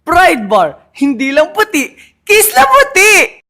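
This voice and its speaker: A woman voices an emphatic advertisement. Her voice is high and bright, with very lively swings in pitch. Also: slow.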